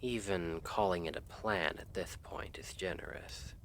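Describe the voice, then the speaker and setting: Casting: male actor as lovestruck noble assassin for ASMR roleplay, deep voice, unhurried, formal and earnest. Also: a bit bright, slight background noise. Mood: earnest, cautious. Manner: measured, formal, hesitant phrasing.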